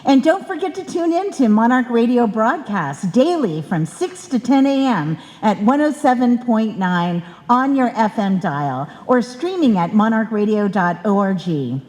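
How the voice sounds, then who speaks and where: A woman's voice: a little high, very animated, a little slow, hardly any pauses, darkish tone. A woman announcer giving a prepared welcome speech to an audience at a live show. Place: indoor stage in a club hall. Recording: reverberant hall, live stage event.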